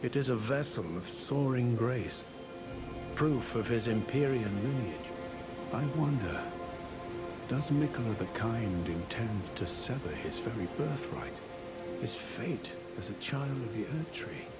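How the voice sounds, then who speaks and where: An actor playing a courteous, reverent fantasy follower of a demigod in a video game, speaking in formal, archaic phrases. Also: male voice, mid-range voice, lively intonation, measured pace, lightly acted. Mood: awed, pondering. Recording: loud music behind the voice. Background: loud music.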